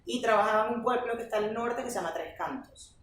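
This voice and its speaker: A young woman with a Venezuelan accent, a guest telling stories in a lively, chatty podcast interview. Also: on the high side, fast talker. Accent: Venezuelan Spanish. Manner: chatty, animated storytelling. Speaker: guest, flamenco teacher. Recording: roomy, distant mic, faint noise.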